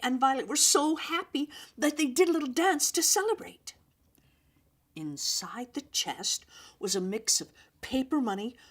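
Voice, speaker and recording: Lady reading a kids story out loud, mid pitched voice, expressive and careful. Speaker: storyteller reading aloud to children. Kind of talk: expressive children's story narration.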